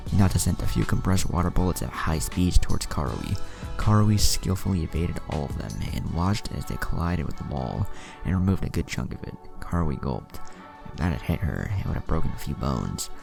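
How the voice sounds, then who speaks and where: A man's voice: very deep voice, big pitch swings, brisk, gravelly. A man narrating a fan fiction story, reading it aloud with background music behind him.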